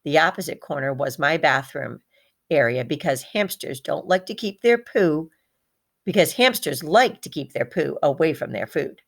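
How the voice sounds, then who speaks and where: A woman reading a children's story aloud in an expressive, animated manner. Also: sits low, moderate pace, gravelly.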